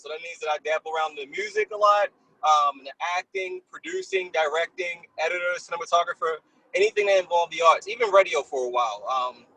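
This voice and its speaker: A man, a film director, introducing himself casually and informally in a halting way while driving. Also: fairly high pitch, pushed and bright, rough recording.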